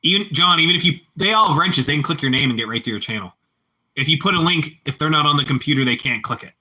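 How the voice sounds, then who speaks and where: A man's voice: on the high side, lively intonation, fast talker, bright, forceful tone. A man with a Pittsburgh accent chatting casually with live-stream viewers, rambling and repeating himself as he answers the chat.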